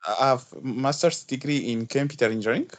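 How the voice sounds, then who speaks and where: A man's voice: mid-range voice, fairly quick, background noise. A man from Ethiopia, hesitantly and politely asking a question on a video call. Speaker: webinar participant.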